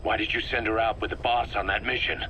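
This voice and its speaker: Tense, hard-edged suspicious commander. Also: male voice, lowish voice, fairly even intonation, quick pace, bright, forceful tone, lo-fi sound. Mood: suspicious, angry. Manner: terse, accusatory, insistent. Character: hard, suspicious, hot-tempered male military commander. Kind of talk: dramatic game voice acting. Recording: faint background noise.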